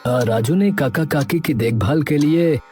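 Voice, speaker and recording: A man's voice: fairly high pitch, measured pace, warm tone, faint music behind, close to an everyday voice. A man voicing an honest, kindly village potter who talks earnestly, in a children's cartoon. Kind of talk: Hindi children's cartoon voice acting.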